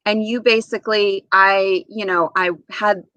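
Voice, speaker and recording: Woman, forties, animated interview guest. Bright, forward voice, casual storyteller, dry close sound. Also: medium pitch, measured pace, dry recording. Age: 40s. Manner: animated, acts out remembered dialogue.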